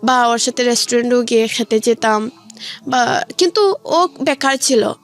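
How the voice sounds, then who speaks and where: A woman telling her personal story in an interview, in a halting way with rephrasing. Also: on the high side, lively intonation, faint music behind. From Sylhet region, Bangladesh. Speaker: guest telling own life story.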